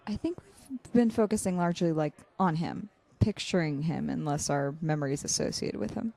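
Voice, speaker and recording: A woman's voice: low-pitched, moderate pace, creaky, mellow, slight background noise. A young woman playing a cerebral, slightly awkward student in an audio drama, analytical in the way she talks. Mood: curious, analytical. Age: young adult.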